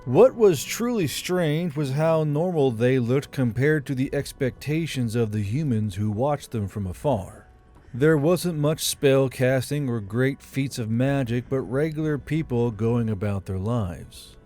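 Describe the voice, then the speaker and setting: A male narrator reads a story in a dark, mellow voice at a medium pitch. The delivery is scripted audiobook narration, with music underneath. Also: expressive, a little slow.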